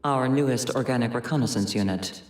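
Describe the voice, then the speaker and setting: An actor playing a detached, officious overseer giving a formal, matter-of-fact briefing in a video game. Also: male voice, medium pitch, fairly quick, lightly acted. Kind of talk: cinematic video game acting. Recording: echoey, reverberant voice.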